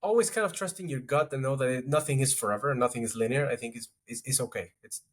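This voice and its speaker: A man speaking fast in a relaxed interview, circling back on his thoughts with many restarts and filler words. His voice sits at a middle pitch and he sounds like a guest chatting into a podcast mic. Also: slightly rough. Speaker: podcast guest, strategy executive. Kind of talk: casual interview talk.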